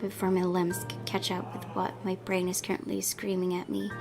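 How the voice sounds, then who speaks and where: A woman reading a visual novel aloud as a let's play streamer, in expressive narration mixed with casual commentary. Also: fairly low pitch, a little fast, vocal fry. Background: game music.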